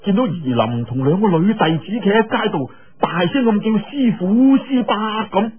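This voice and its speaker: A man with a husky voice tells a martial arts story in a dramatic, colloquial style with drawn-out particles. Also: sits high, expressive. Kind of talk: animated Cantonese storytelling of a martial arts novel. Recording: dull, lo-fi radio-like audio.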